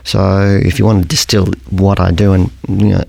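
Croaky, very low-voiced man. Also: little pitch movement, moderate pace, mellow, no room sound. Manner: rambling, self-correcting, conversational explainer. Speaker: podcast host. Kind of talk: casual educational podcast monologue.

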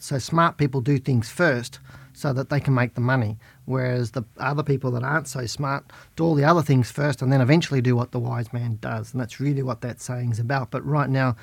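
A middle-aged man with a croaky voice from a head cold, hosting a podcast and casually answering listener questions while reading stock charts. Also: mid-range voice, no echo. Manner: chatty, plain-spoken, talks while reading charts. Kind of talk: casual market commentary.

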